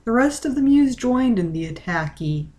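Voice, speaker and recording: A woman reading a story aloud as dramatic, deliberate narration. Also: fairly high pitch, big pitch swings, darkish tone. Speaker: reader of a fan-fiction story.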